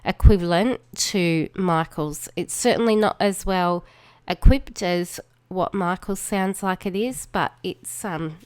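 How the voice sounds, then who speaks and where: An Australian woman talks slowly and easily through her crafting process, her voice smooth and clear though a little snuffly from a cold. The recording is close and dry, with no room sound. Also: on the low side, no echo. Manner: chatty, relaxed, many fillers and trailing asides. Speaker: crafting channel host.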